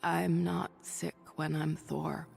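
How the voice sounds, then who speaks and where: Game role: weary, resolute sick heroine, slow and husky delivery, set in long reverb. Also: female voice, low voice, little pitch movement, darkish tone, faint music behind, full character voice. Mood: tired, confiding. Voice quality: husky, breathy, creaky. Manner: halting, confiding, resolute. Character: ailing woman doctor turned hero, stubborn, weary, defiant. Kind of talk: dramatic video game acting, audio log monologue.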